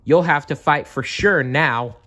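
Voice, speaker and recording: A man's voice: on the high side, measured pace, fairly bright tone, pitched above the performer's own voice. A man voicing an anxious, worried schoolboy friend in a storybook. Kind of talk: storybook read-aloud.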